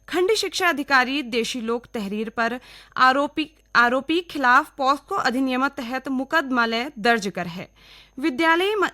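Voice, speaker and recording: A woman's voice: high voice, pushed and bright. A woman with a Kumaoni accent reading scripted regional radio news. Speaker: newsreader.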